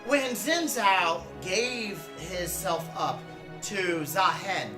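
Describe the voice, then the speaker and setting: Man, casual reaction commentary, game lore explainer, slow halting delivery, informal, music in background. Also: sits high. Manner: informal, halting, searching for names. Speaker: reactor, drag performer and cosplayer.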